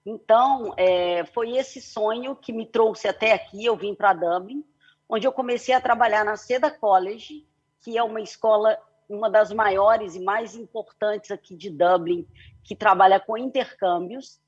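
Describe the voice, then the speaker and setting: A woman's voice: medium pitch, unhurried, breathy edge. Middle-aged Mineiro woman on a muffled call. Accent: Mineiro Brazilian Portuguese. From Belo Horizonte, Brazil. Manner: long flowing telling with frequent "né" and "é" fillers. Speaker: guest speaker, company CEO. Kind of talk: online panel storytelling.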